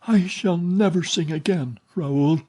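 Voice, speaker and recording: A man voicing a grave, despairing young woman singer in an audiobook reading, speaking softly and pleadingly. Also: fairly high pitch, measured pace, voice pushed up. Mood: sorrowful.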